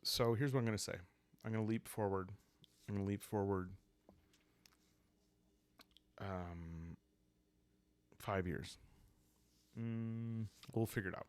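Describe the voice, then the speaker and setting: Slow, low-pitched man with a creaky voice. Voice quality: soft, calm. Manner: hesitant, thinking aloud with long pauses and sighs. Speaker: host and player of a solo roleplaying game. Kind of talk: calm unscripted storytelling for sleep, journaling game playthrough.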